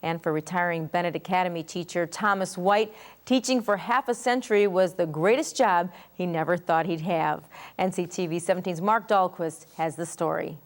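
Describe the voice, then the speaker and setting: Slightly low-voiced American woman news anchor. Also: moderate pace. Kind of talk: scripted news introduction.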